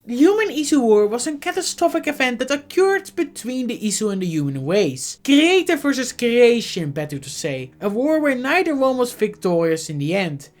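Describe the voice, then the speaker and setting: A woman's voice: on the high side, big pitch swings, moderate pace, hardly any pauses, a little mellow. A woman with a cold narrating a scripted explainer as a video host. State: has a cold.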